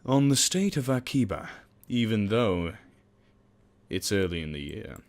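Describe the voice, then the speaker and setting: A man's voice: on the low side, sing-song, measured pace. A young man casually reading a visual novel aloud for a let's play. He jokes, swears and mocks himself as he stumbles over words.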